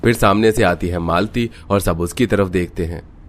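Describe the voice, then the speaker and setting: Low-voiced man narrating a story. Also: normal speed. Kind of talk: scripted storytelling narration for cartoon.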